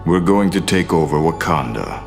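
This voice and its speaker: An actor playing a smug, businesslike male mercenary villain in a cartoon, with a gravelly, deliberate delivery. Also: very deep voice, animated, big-room sound, over music, exaggerated voice. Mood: smug menace.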